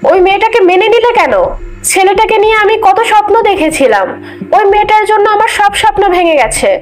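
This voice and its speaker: A woman's voice: very high voice, quick pace, faint music behind. A woman voicing a scheming, hot-tempered middle-aged mother-in-law in a cartoon, scolding and indignant. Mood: hurt, resentful. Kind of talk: Bengali cartoon voice acting.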